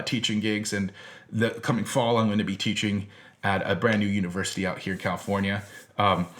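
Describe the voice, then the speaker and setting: A man's voice: on the low side, a bit bright. An American man giving an informal philosophy lecture in a conversational, emphatic way, as a teacher presenting to an audience he addresses directly.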